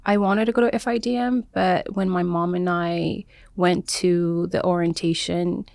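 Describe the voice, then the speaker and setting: A woman born in Mexico and raised in San Diego, a wardrobe stylist, telling her story in an earnest, halting way as an interview guest. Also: on the low side, level tone, creaky, a little mellow, dry recording, clean studio sound. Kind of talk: conversational interview.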